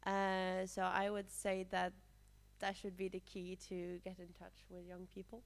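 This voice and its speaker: Flat-toned young Norwegian woman. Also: on the low side, unhurried, slight background noise. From Norway. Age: young adult. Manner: hesitant, casual fillers like "like". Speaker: youth panelist. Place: conference room.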